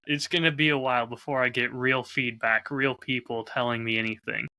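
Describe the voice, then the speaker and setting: A man with a very bright, crisp-edged voice at a middling pitch hosts a casual podcast. He speaks reflectively and candidly, laying out his thoughts like someone used to telling stories. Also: steady tone, fairly quick. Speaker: podcast host and audio drama creator.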